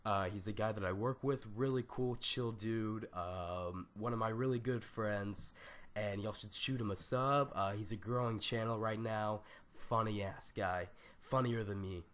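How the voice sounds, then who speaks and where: A young man with a raspy, scratchy, stuffed-up voice, rambling loosely through a casual gaming tutorial with lots of ums and slang. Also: on the low side, a little slow, a bit bright. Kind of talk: casual screen-recorded tutorial.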